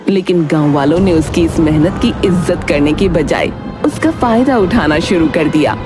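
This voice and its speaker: A woman's voice: low voice, animated, normal speed, over music. A woman narrating a scripted moral story for children as a storyteller.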